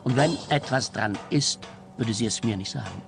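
A man's voice: medium pitch, moderate pace, gravelly, over music, natural voice. A man playing a fearful, worried husband in a radio play, speaking earnestly and precisely. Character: anxious, fearful husband, a detective's client.

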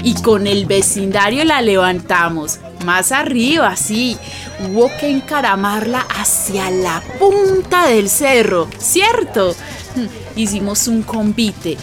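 An actress with a Colombian accent playing a folksy neighbour who narrates barrio memories in a radio drama, colloquial and unhurried. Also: female voice, medium pitch, big pitch swings, music in the background. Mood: reminiscing.

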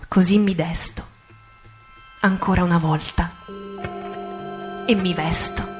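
A woman recites poetry as radio theatre, in a solemn, deliberate way with dramatic pauses. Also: fairly low pitch, fairly even intonation. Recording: music under the voice. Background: music.

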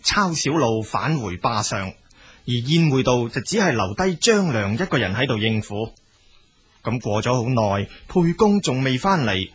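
A man serves as narrator for a scripted historical audio drama. His medium-pitched voice is husky, and he tells the story with lively, varied intonation.

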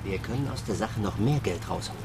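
An actor playing a scheming, cold-blooded robber with a sly, callous manner in a German children's radio play. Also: male voice, on the low side, expressive, fast, vocal fry, music in the background.

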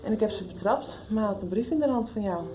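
A woman's voice: medium pitch, animated, fast talker, dark tone, natural voice. A woman playing an inquisitive, loyal schoolgirl in a Dutch radio soap, asking insistently. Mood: confiding, curious. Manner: questioning, insistent. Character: inquisitive, loyal young schoolgirl, somebody's little sister. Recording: 1990s radio soap production.

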